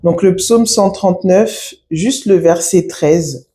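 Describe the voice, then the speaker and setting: Halting woman in her thirties giving testimony. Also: fairly low pitch, warm tone. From France. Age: 30s. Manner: halting, reflective, many pauses. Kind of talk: personal faith testimony. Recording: echoey room.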